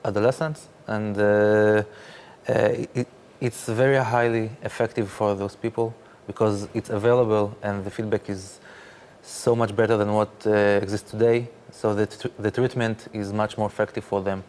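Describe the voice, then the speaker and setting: A man, speaking haltingly with many fillers as a guest in a studio interview. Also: fairly low pitch, little pitch movement, a little mellow, slight background noise. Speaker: interview guest, startup founder.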